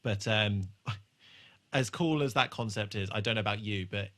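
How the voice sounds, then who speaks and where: Guy on a podcast, kinda low but bright voice, casual sweary chat about tv. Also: measured pace. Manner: informal, sweary. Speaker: podcast host. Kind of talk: casual chat reviewing a TV episode.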